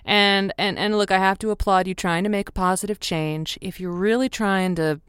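A woman's voice: medium pitch, fairly even intonation, normal speed, a bit bright, clear, resonant tone, no echo, studio quality, close to an everyday voice. A woman playing a wry, teasing radio advice host in an improvised audio drama, talking conversationally. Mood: probing, wry. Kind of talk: semi-improvised audio drama, call-in talk.

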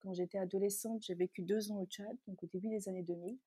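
Woman, soft dark voice, explanatory. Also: mid-range voice, fairly even intonation, fast, slightly rough, dry recording. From Chad. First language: Tazaga. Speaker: interview guest, beauty brand founder. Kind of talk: conversational interview answers.

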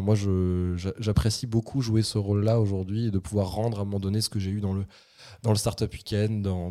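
A man's voice: fairly low pitch, warm tone. A man speaking as a podcast guest about supporting startups, talking eagerly with many restarts. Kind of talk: podcast conversation.

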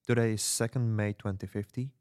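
A man's voice: lowish voice, steady tone, brisk, a little mellow, clean tone, no room sound, studio quality, lightly acted. A man playing an earnest rebel radio host in a dystopian drama, speaking solemnly and rallying the listener in a scripted, intimate address. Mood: earnest, welcoming.

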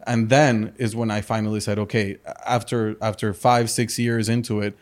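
Low-voiced man, earnest and halting. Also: flat delivery. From Spain. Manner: earnest, many restarts and "correct?" tags. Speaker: entrepreneur guest. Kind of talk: casual interview talk.

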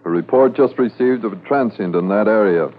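Police sergeant played by a man, low voice, calm command, matter-of-fact, tinny old radio recording. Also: natural voice. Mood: calm, businesslike. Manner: brisk, matter-of-fact, authoritative. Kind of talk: 1950s radio drama acting. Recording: narrow lo-fi 1950s radio recording, noise.

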